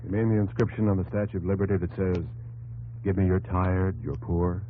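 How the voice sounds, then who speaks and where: A man playing a fatherly, solemn narrator in a 1950s radio drama, with an American accent and measured, declamatory delivery. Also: sits low, steady tone, a little hiss or hum, close to an everyday voice. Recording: narrow old radio recording, loud organ music.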